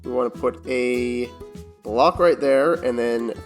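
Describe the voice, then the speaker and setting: A man's voice: medium pitch, slow pace, faint music behind. A man giving casual, chatty commentary over his gameplay, talking to himself as he builds. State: strained voice, recovering from voice loss. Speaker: Minecraft YouTuber.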